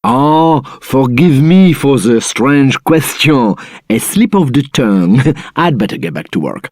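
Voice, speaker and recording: Nosy game barman, slow drawl of suspense, lively swings, theatrical, dry sound. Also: mid-range voice, no echo. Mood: flustered. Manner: theatrical, gasping, eager for gossip. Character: nosy, easily shocked barman. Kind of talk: video game dialogue acting.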